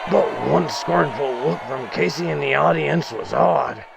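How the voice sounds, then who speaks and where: Male poetry reader, theatrical and emphatic, very gravelly, with music and crowd sounds behind. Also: on the high side, low-quality recording. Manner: emphatic, swings between loud declaiming and hushed drawn-out phrases. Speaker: reader of a classic poem. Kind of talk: theatrical dramatic poetry recitation.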